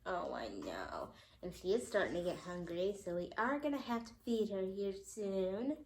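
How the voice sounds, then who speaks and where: A woman's voice: medium pitch, sing-song, normal speed, vocal fry, darkish tone, a little hiss or hum. A young woman, a mother, giving a casual vlog update, a little congested and nasal, talking in a loose way full of ums and likes. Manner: chatty, lots of ums and likes. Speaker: vlogger. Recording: echoey room.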